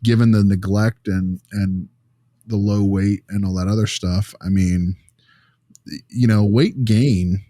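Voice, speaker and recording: A man with a low voice talks through his opinions on a podcast in a casual, blunt way. The sound is dry and close, with very little room to it. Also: dark tone, no room sound. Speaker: podcast co-host and clinical discussant.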